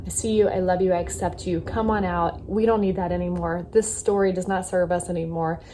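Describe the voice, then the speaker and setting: A woman as young adult vlogger, mellow tone, earnest affirmations, faint hiss behind. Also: lowish voice, steady tone, a little fast. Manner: earnest, speaks in self-affirmations. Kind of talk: casual reflective talk to camera.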